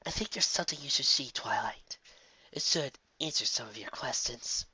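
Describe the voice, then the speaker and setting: A narrator voicing a calm, regal female pony princess and mentor in a fantasy story, speaking in a measured, hushed way. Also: mid-range voice, slightly rough, dry recording, dull audio, heavily acted. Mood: gentle.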